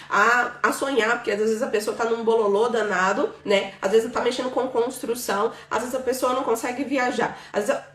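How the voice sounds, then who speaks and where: A woman's voice: on the high side, fairly bright tone, recorded from a distance. A Brazilian woman in her thirties giving a live class as a financial mentor, talkative, filling her talk with "né?" and "tá bom?". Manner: talkative, filler-heavy, checks in with "tá bom?" and "né?". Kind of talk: informal live lesson. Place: room with a live audience present. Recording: roomy, from off the mic.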